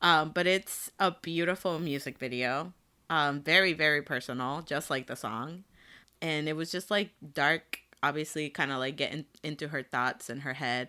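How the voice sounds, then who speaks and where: Bright, giggly woman podcast co-host. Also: sits low. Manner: giggly, chatty, acts out reactions. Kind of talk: casual chat.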